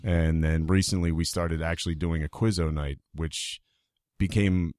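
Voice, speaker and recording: Deep-voiced laid-back male guest. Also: steady tone, moderate pace, darkish tone, no room sound, studio quality. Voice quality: radio voice. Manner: laid-back, self-deprecating joking. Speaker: podcast guest, comedy show organizer. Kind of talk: casual chat. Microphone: studio mic.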